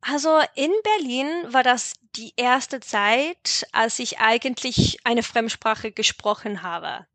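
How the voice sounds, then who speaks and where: A woman's voice: on the high side, takes it slowly, few pauses, fairly bright tone, no room sound. A woman from England with an English accent, a guest on an interview telling anecdotes in learned German, simple phrasing and frequent laughs. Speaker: guest, language teacher. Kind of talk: casual interview chat.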